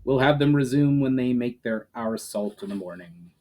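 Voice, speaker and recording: A man's voice: medium pitch, moderate pace, darkish tone, close to an everyday voice. A man voicing an aging, practical army general who gives orders with authority in a fantasy audiobook. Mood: commanding. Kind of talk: dramatic audiobook character reading.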